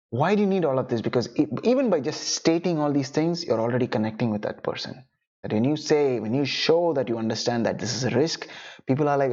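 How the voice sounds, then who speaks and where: A man's voice: mid-range voice, a little mellow, muffled recording. A young man whose first language is Tamil, coaching freelancers in a casual online lesson and often adding "right?" and "you know". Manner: conversational, many "right?" and "you know" tag questions. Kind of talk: online lesson, talking to camera.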